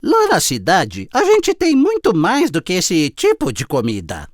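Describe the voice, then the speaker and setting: A woman's voice: fairly low pitch, huge pitch swings, measured pace, no echo, clean studio sound, full character voice. A woman with a Brazilian accent voicing a boastful, disdainful male city mouse in a children's cartoon fable. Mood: snobbish, boastful.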